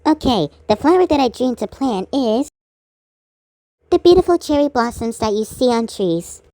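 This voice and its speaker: A woman's voice: fairly high pitch, lively intonation, moderate pace, dark tone, no echo, clean studio sound. A woman voicing a sweet, dreamy female flower-lover in an amateur cartoon. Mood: dreamy, pleased.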